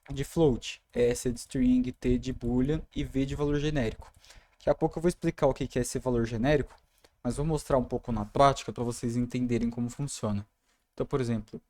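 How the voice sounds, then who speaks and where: Young Brazilian man, relaxed tutor tone, warm and dry. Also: mid-range voice, no room sound. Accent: Brazilian Portuguese. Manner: casual, talks through code while typing. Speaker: programming tutor. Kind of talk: screen-recorded coding tutorial.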